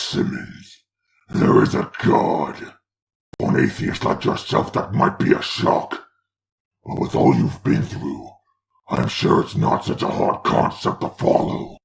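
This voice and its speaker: A man voicing a grandiose, taunting demon lord in a comic dub, with a gravelly, theatrical delivery. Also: very deep voice, heavily acted. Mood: smug, menacing. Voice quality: gravelly, creaky. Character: grandiose demon lord, menacing mocking father of hellspawn.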